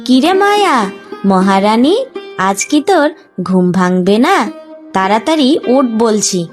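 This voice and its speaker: A woman's voice: a little high, very animated, slow, soft background music. A woman voicing a cruel, sarcastic village stepmother in a cartoon, nagging and taunting with sneers. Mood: scornful. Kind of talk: Bengali cartoon voice acting.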